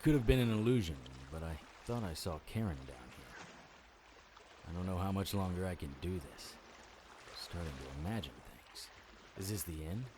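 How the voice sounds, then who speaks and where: A man's voice: low-pitched, animated, normal speed, noisy background. A man playing a battered, wry supernatural investigator in an audio drama, weary and dramatic. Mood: weary, dazed. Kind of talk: audio drama acting with first-person monologue.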